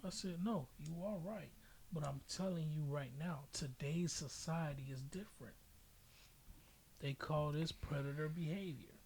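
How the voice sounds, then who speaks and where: Man, fairly high voice, podcast host, casual chat, slangy, emphatic, opinionated. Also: measured pace, creaky, darkish tone, slightly rough. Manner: emphatic, slangy storytelling with repetition.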